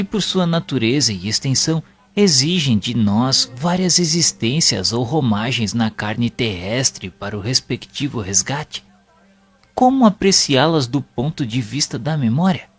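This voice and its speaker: A man playing an earnest, respectful spirit pupil in an audio drama, speaking formally and deliberately. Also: mid-range voice, lively intonation, slightly rough. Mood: earnest, inquiring. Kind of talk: audio drama, narration and questioning dialogue. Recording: music playing behind the voice.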